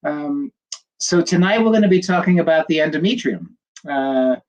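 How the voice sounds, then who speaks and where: A man's voice: a little high, lively intonation, moderate pace, muffled recording. An older man speaks with a slight nasal tone from a cold. He talks in a chatty, rambling way, full of ums and uhs. He greets viewers before settling into a medical talk over a livestream computer mic. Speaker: fertility doctor giving a livestream lecture.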